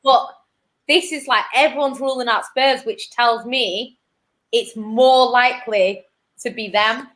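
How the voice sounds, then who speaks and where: A woman's voice: on the high side, a little slow. Chatty young woman with Manchester accent. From England. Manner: animated, laughs often, trails off. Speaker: football pundit. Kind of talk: casual chat.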